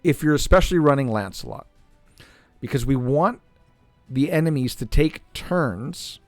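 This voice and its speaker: Throat-clearing man talking through gameplay. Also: on the high side, a little slow, no echo, soft background music. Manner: relaxed, thinking aloud while playing. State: losing his voice, clearing throat. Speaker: gaming channel host. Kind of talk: casual gameplay commentary.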